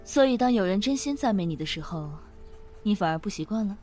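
A woman playing a kind, calm office manager in a modern audio drama, gently teasing and soothing. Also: mid-range voice, a little fast, soft background music, close to an everyday voice. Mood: warm, teasing.

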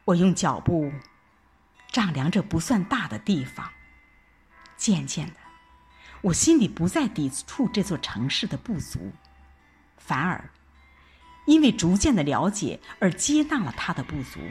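A woman narrating a scripted first-person story in a measured, expressive storytelling style. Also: on the low side, breathy edge, soft background music. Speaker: audio drama narrator.

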